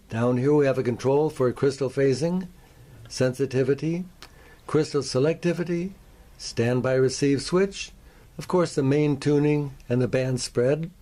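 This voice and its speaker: An older man with an American accent gives a folksy, measured show-and-tell, addressing viewers directly like a hobbyist presenter. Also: mid-range voice, warm tone. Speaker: presenter, antique radio hobbyist. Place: home workshop.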